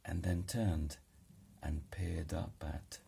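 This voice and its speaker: Male storybook narrator: low, gravelly voice, unhurried and dramatic delivery. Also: flat delivery, dark tone. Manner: dramatic, deliberate. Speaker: storybook reader. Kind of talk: expressive read-aloud children's narration.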